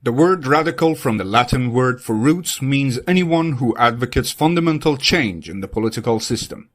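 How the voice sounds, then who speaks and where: A man's voice: medium pitch, lively intonation, normal speed. A man reading scripted exam practice passages aloud.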